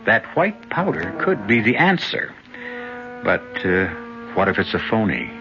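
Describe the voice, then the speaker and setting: A man hosts a vintage radio mystery in dramatic, deliberate narration. His voice is low and wry. Music plays behind him and the recording sounds dull. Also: sing-song. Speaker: host and narrator. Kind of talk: dramatic scripted narration, old-time radio.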